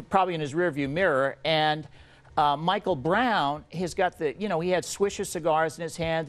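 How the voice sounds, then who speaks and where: An American man giving measured, explanatory analysis as a guest on a TV news panel. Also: on the high side.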